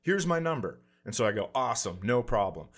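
A man's voice: medium pitch, fairly quick. A man telling a casual comic story in a self-deprecating way, acting out what people said as he goes. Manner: self-deprecating, animated anecdote telling with acted-out quotes. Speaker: comedian podcast guest telling a story.

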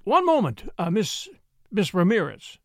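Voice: mid-range voice, wildly swooping pitch, a little slow, fairly bright tone, no room sound, exaggerated voice. Storybook male lead, voiced by narrator: stern, earnest American, curt and socially awkward, dry sound. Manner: blunt, clipped, earnest.

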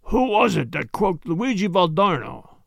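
Storybook mystery: male reader as big Italian gangster, hoarse, gravelly, sneering menace. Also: high-pitched, sing-song, a little slow, no room sound, clean studio sound, exaggerated voice. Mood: menacing. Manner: threatening, sneering.

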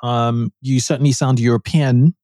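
A man's voice: mid-range voice, steady tone, warm tone, no room sound, clean studio sound. A man hosting a business podcast, reading an intro and then interviewing a guest, often repeating words as he asks questions. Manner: halting, repeats words when asking questions. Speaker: podcast host. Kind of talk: podcast interview with read sponsor ad.

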